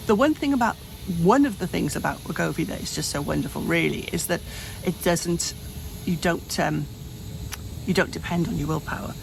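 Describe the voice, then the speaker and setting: A woman's voice: fairly low pitch, moderate pace, vocal fry, slight background noise, low-quality recording. A woman of fifty-eight speaking candidly in a personal vlog, rambling and often restarting her sentences. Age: 50s. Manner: rambling, frequent restarts and self-corrections. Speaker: vlogger. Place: home, hot room.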